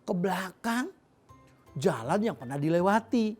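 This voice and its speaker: An Indonesian woman narrating a children's fairy tale in an animated, expressive way with dramatic pauses. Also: lowish voice, breathy edge. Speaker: children's storyteller. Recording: music behind the voice. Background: music.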